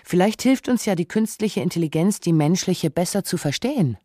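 Mellow, reflective radio narrator, closely recorded. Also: mid-range voice, expressive, fairly quick, no room sound, clean studio sound. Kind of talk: scripted literary radio essay narration.

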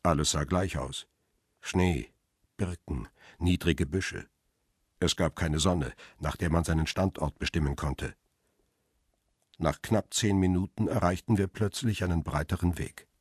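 An actor playing a photographer who tells his own tense story in a radio crime drama, measured and descriptive, with a husky voice. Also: deep voice, no room sound, clean studio sound. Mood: tense, restrained. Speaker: narrator and protagonist.

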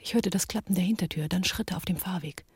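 An actress playing a tense woman in hiding, narrating in a hushed, breathy near-whisper in a radio drama. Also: fairly low pitch, fast, no echo, clean studio sound. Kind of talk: radio drama acting and first-person narration.

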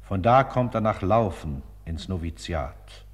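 A man with a somewhat low voice delivers solemn scripted narration. The recording carries a long reverb around his words. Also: expressive, a little slow.

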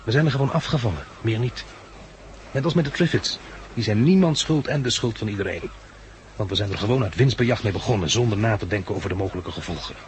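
A man's voice: lowish voice, animated, quick pace, lightly acted. Wry, world-weary Dutch radio-drama survivor played by a man. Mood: reflective. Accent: Netherlandic Dutch. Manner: wry, philosophical, articulate. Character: reflective, world-weary male survivor of a catastrophe. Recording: noisy old 1960s radio recording.

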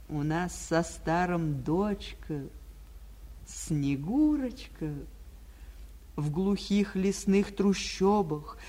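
Spring spirit in a 1940s radio fairy tale: female, motherly, wistful, unhurried, very lively theatrical delivery. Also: medium pitch. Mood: rueful, complaining. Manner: declamatory, expressive, measured verse delivery. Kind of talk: theatrical 1940s Russian radio play monologue.

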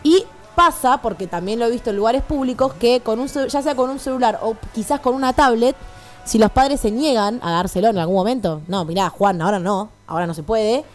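A woman's voice: fairly high pitch, a little fast. A woman with an Argentine accent co-hosting a casual radio chat, explaining things colloquially and often checking for agreement. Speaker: radio show co-host. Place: radio studio. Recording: music playing behind the voice.

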